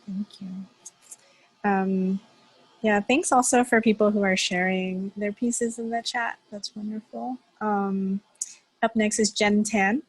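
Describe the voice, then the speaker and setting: A woman moderating an online writing workshop on a video call, soft-spoken and hesitant with fillers. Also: medium pitch, steady tone, slow, breathy edge, no room sound, low-quality recording.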